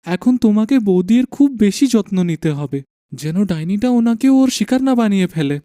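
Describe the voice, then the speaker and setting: A man playing a sorrowful, kindly village friend in an animated folk tale, lamenting and solicitous. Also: high voice, moderate pace, no room sound, clean studio sound. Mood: sorrowful concern.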